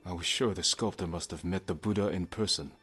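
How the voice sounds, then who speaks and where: Man acting a stoic, low-voiced samurai lord. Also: over music, lightly acted. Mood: grave. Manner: grave, restrained, measured. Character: stoic adult male samurai lord of feudal Japan. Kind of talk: dramatic video game acting.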